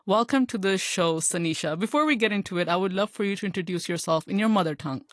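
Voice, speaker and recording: A woman's voice: mid-range voice, fast talker, dry recording, studio quality. A woman from Hong Kong hosting a radio talk show, upbeat and scripted at first, then chatting with her guest. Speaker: radio host.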